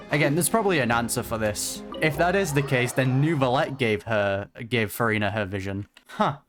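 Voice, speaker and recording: A game reaction streamer giving casual commentary in a relaxed, thoughtful, musing way. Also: mid-range voice.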